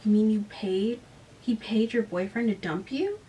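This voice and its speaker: A young woman confronts her family with sharp disbelief, as a woman reads her part aloud. The voice is set back a little from the microphone. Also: mid-range voice, a little slow, distant mic, lightly acted, poor audio. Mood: shocked.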